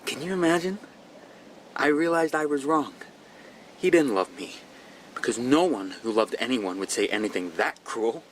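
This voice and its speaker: A man acting as an intense young student, hunted and grieving. He speaks haltingly, tripping over repeated words, in radio drama style, with some background noise. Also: medium pitch, lively intonation, natural voice. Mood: hurt, accusing. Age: young adult. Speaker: actor.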